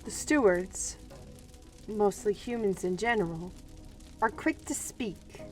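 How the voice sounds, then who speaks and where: Woman as earnest, slow-speaking fantasy steward. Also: fairly high pitch, poor audio. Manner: solemn, measured, defiant. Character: earnest, principled young female fantasy steward. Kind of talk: fantasy audio roleplay drama.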